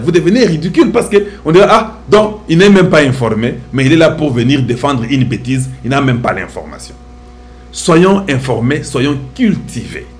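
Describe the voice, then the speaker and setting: Man, Congolese French accent, middle-aged, preachy commentary, high voice, very lively pitch. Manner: preachy, emphatic, rhetorical questions. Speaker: commentator addressing viewers. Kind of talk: impassioned social commentary.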